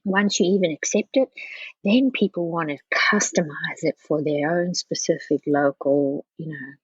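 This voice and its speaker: A woman from South Africa with a low, slightly rough voice answers as a podcast guest. She talks casually and haltingly, restarting her thoughts as she goes. The sound is a little dull and roomy. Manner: halting, circling back with frequent "you know". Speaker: podcast guest, architecture professional. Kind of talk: casual conversational interview.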